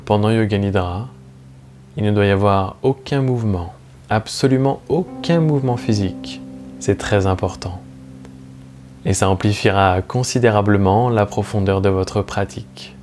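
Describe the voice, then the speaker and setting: A man guides a relaxation session as a meditation teacher, speaking slowly and calmly, with soothing, measured phrasing and long pauses. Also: fairly low pitch. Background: soft plucked guitar music.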